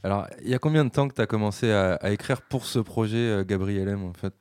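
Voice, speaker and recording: A man hosting a show in a small studio, leading a relaxed interview full of fillers. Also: lowish voice, fast talker, clear, resonant tone. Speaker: radio show host. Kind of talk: casual interview.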